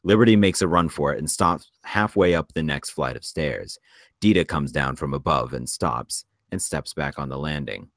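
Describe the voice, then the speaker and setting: A man reading screenplay stage directions as narrator, in a measured, descriptive way. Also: extremely low pitch, dry recording, studio quality.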